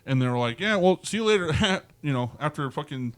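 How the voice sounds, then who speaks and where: A man's voice: fairly high pitch, lively intonation, a little fast. A man in his early thirties hosting a podcast, chatting casually and bluntly with plenty of swearing and slang. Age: early 30s. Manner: profane, blunt, peppered with slang. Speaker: podcast host. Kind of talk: casual chat.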